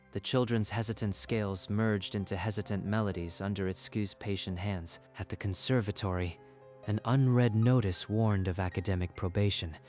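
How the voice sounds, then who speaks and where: Male narrator, manga dub, earnest and steady, slightly low pitch, faint music. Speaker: narrator and lead voice actor.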